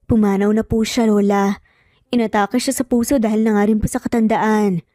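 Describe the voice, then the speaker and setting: A woman's voice: mid-range voice, steady tone, moderate pace, dark tone, no room sound, clean studio sound, faint music behind, lightly acted. A woman voicing an anxious, apologetic young wife who speaks politely and hesitantly in an audio story. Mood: apologetic, sad.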